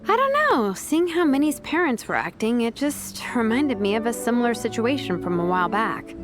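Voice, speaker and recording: A woman's voice: a little high, big pitch swings, moderate pace. A woman voicing a blunt, laid-back young woman warrior in an anime game, with a casual manner. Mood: reflective. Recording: music behind.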